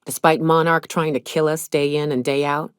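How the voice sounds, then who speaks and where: Game role: woman playing a hardened female monster hunter, low-pitched, blunt, folksy and world-weary, close dry sound. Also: fairly even intonation, moderate pace, clear, resonant tone, dry recording, natural voice. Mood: nostalgic. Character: tough, hard-drinking, haunted female monster hunter and mercenary. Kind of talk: video game character dialogue.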